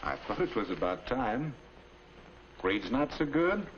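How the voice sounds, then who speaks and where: A noisy, muffled old film soundtrack holds a mild, encouraging father. He talks very slowly and with understatement, in 1950s classroom film style. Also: medium pitch, big pitch swings. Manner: unhurried, understated. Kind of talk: 1950s instructional film acting.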